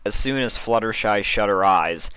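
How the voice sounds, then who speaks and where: A man's voice: fairly low pitch, normal speed, sharp tone, clean tone, dry recording. A man narrating a written story as a dramatic reading. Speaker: fan fiction reader.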